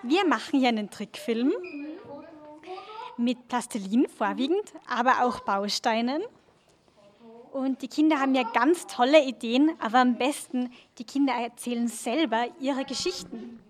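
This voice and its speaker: A woman's voice: on the high side, a little slow. A woman who leads a workshop, explaining it casually in an interview. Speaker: workshop leader.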